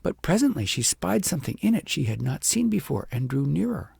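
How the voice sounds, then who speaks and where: A man narrating an audiobook in an expressive storybook style, with dramatic, deliberate phrasing. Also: a little high, vocal fry, slightly rough, no room sound, clean studio sound.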